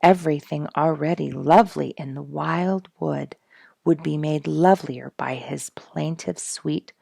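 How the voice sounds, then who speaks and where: A woman storyteller reading a nature memoir aloud in an expressive style for a family audience, putting dramatic emphasis on words. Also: low-pitched, measured pace, creaky, husky, no room sound, studio quality.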